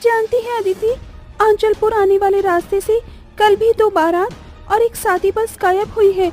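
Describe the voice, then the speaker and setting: A woman's voice: very high voice, steady tone, moderate pace, darkish tone. A woman voicing an anxious young bride in a cartoon horror story, worried and dramatic. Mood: fearful, worried. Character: anxious young bride fearing for her wedding. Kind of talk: Hindi animated horror story dialogue. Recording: music bed under the voice.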